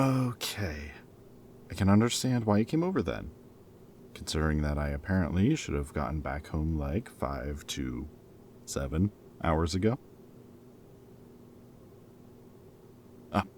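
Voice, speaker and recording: A man's voice: very deep voice, darkish tone, a little hiss or hum. A man playing a former villain in an audio roleplay, a playful, loyal friend who talks in a casual, wry, bantering and hesitant way, as if in conversation. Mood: sheepish, flustered.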